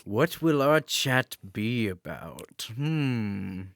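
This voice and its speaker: A male podcast co-host chats casually with a friend. His mid-pitched voice sits in a dry, close recording, and his delivery is animated, teasing and loose with swearing. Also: extremely slow, vocal fry, dry recording. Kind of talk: casual banter between friends.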